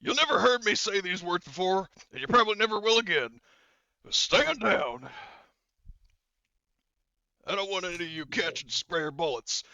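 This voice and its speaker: A man acts out a gruff squad leader in a tabletop game. The voice jumps well above an everyday pitch, bright and forceful. The orders come out clipped, urgent and commanding, in a close, dry sound. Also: lively intonation, normal speed, pauses often, dry recording, highs cut off, heavily acted. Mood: exasperated, commanding.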